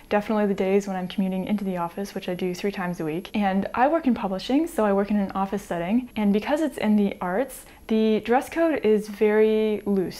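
An American woman in her thirties, chatting to camera in a small home room on a lapel mic, light and easygoing, with soft laughs between thoughts. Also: mid-range voice. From United States. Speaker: sewing vlogger. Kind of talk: casual chat to camera.

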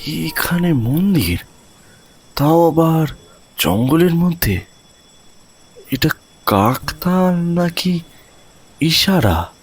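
A man's voice: a little high, very animated, extremely slow, pauses often, slightly rough, pitched above the performer's own voice, exaggerated voice. A man voicing a determined, curious young seeker in a mystery audio drama, intense and questioning as he muses. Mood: wondering.

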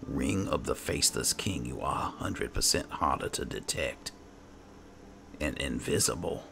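A man with an extremely deep voice full of heavy fry hosts a game playthrough. He mutters to himself and reads item text without hurry, and game music plays clearly behind him. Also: lively intonation, measured pace. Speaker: gaming video host. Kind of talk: roleplay game playthrough commentary, reading item text aloud. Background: video game music.

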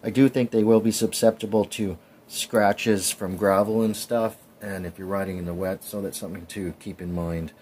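A man's voice: lowish voice, steady tone, normal speed, few pauses, a little mellow, a little hiss or hum. A man in his forties casually narrating his bike build over clips, chatty with frequent ums. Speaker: cycling vlogger.

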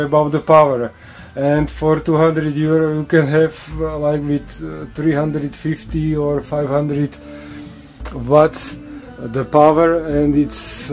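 A man who is not a native English speaker walks through his drill press in a home woodshop, in halting English full of fillers and restarts. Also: fairly high pitch, mellow. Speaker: woodworking hobbyist showing his drill press. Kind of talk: casual workshop walkthrough.

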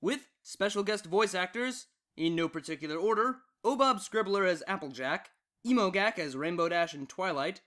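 A man's voice: sits high, bright, forceful tone. A man narrating fan fiction as a story reader and channel host.

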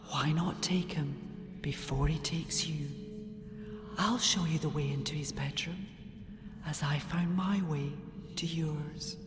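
An actress playing a seductive, sly, softly menacing female forest spirit in an audio drama, with a breathy whisper. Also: low voice. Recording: long echoing hall reverb. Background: music.

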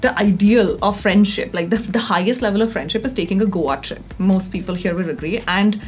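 Female interviewer, thirties, Indian accent, medium pitch, conversational hosting with faint music underneath. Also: brisk. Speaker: interviewer, content creator. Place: indoor studio-style office set.

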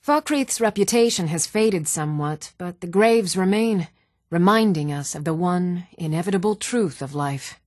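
An actress playing an earnest, dutiful woman of a fantasy realm in a video game, speaking in a measured, composed and formal way. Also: on the low side, expressive, darkish tone, no room sound, studio quality.

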